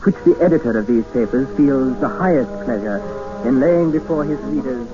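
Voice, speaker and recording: A man's voice: medium pitch, animated, moderate pace, close to an everyday voice. Actor as earnest trapped Englishman, old radio sound. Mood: measured narrating. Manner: polite, formal, earnest. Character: trapped Englishman, educated, increasingly desperate captive narrator. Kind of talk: 1950s radio drama acting. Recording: dull vintage radio recording with music.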